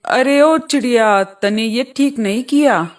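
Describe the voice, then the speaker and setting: A woman's voice: fairly high pitch, unhurried, natural voice. A woman voicing a bullying male hunter hawk who threatens in rustic dialect, in a children's cartoon story. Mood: aggrieved.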